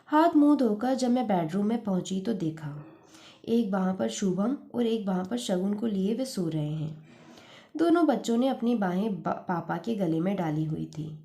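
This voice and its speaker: A woman storyteller with a mellow, relaxed voice of medium pitch. She reads literary fiction expressively, keeping an earnest and measured delivery.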